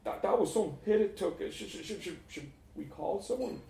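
A man's voice: high voice, animated, normal speed, creaky, dark tone, far from the mic, voice pushed up. A man voicing a squeamish, agitated young man in a short story, stammering and pleading. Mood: shaken, weak. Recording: roomy, echoing sound.

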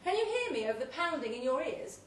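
A woman's voice: high voice, sing-song, quick pace. Woman reading fiction aloud in a roomy space. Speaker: reader of a short story. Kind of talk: dramatic live short story reading. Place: pub event room, read aloud live. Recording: roomy, distant live recording with faint noise.

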